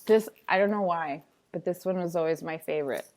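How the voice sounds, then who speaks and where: A woman's voice: lowish voice, brisk, a little mellow. A woman reading a picture book aloud like a teacher, with a sing-songy, playful, dramatic delivery and chatty asides.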